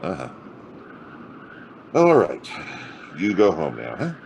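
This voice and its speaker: A man's voice: low-pitched, huge pitch swings, slow, gravelly, a little mellow, lo-fi sound, a little hiss or hum, full character voice. An American man playing a wry, sardonic hard-boiled private detective in a noir audio drama. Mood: wry, casual. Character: hard-boiled, wisecracking male private detective, narrating his case.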